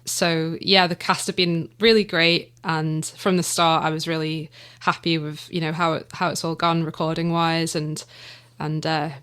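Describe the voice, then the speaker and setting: A woman's voice: low voice, flat delivery, normal speed, a bit bright, smooth. A young woman from the Isle of Man with a Manx English accent, talking casually in an interview, chatty and hesitant with plenty of fillers. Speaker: writer and director of an audio drama, guest.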